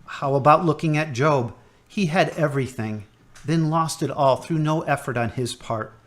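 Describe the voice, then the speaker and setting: A man playing a gentle hospital chaplain. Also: a little high, natural voice. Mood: measured. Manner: gentle, measured preaching tone. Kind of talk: amateur radio drama acting.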